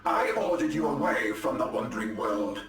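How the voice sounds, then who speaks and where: Haughty, echoing alien warlord. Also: male voice, medium pitch, sing-song, moderate pace, a bit bright. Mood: cold, threatening. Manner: imperious, menacing. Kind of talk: dramatic video game cutscene acting. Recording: distant, echoey, music behind.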